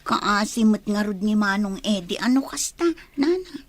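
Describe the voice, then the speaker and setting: A woman's voice: medium pitch, lively intonation, moderate pace, dry recording, natural voice. A woman playing an anxious, questioning grown daughter in a Filipino audio drama.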